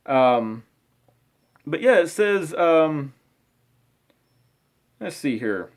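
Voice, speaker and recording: Guy with a slightly high voice doing casual commentary, stop-start, repeats himself, kinda argumentative. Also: sing-song. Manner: halting, repetitive, argumentative. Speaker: video host. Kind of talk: informal spoken commentary.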